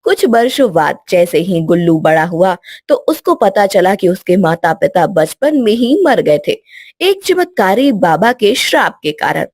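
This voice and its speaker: A woman's voice: medium pitch, sing-song, a little slow, a little mellow, dry recording, studio quality. A woman storyteller reading a scripted children's story as narration.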